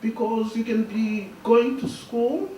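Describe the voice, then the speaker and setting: A young woman from Burundi whose first language is Swahili, speaking as a guest and answering audience questions in a soft, halting way, often laughing. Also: on the high side, a little hiss or hum. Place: school hall. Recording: echoey hall, distant mic.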